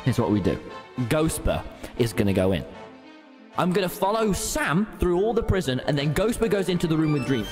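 A young man doing dramatic game roleplay in an excitable, urgent way. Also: fairly high pitch, brisk. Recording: echoey, loud music over voice.

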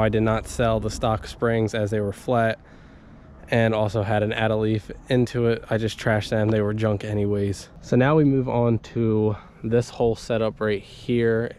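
Young man, stuffy cold, lowish voice, casual vlog talk, action camera, faint outdoor noise. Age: young adult. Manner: casual, relaxed, with frequent ums and likes. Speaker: vlogger. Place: inside a parked vehicle, then outdoors beside it.

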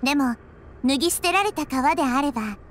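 A woman voicing a gentle, wise little girl nature deity in an anime game, speaking calmly and thoughtfully. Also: high-pitched, brisk, a little hiss or hum. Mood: gentle, reassuring. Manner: calm, thoughtful, softly explanatory. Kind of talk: Japanese anime game voice acting.

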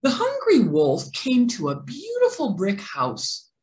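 A middle-aged woman reading a children's story aloud, theatrical and deliberate, stressing key words. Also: on the high side, huge pitch swings, darkish tone. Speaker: children's read-aloud presenter. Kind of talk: expressive picture-book narration. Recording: roomy indoor echo.

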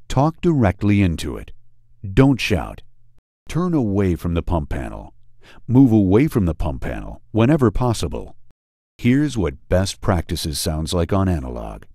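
Deep-voiced male training video narrator. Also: wildly swooping pitch, a little slow, dark tone, no echo, clean studio sound. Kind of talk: scripted instructional narration. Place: studio voice-over.

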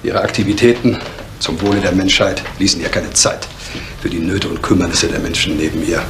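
An actor playing a reserved, bitter divorced father in a vintage German crime drama, speaking in a measured way with deliberate pauses. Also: low-pitched, fairly even intonation. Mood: bitter reflection. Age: middle-aged. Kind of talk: 1970s German television crime drama acting. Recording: faintly noisy vintage drama soundtrack.

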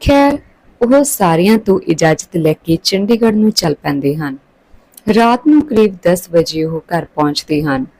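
A woman's voice: fairly low pitch, lively intonation, a little slow, dark tone, no room sound, low-quality recording. A woman reading a scripted story aloud as a narrator.

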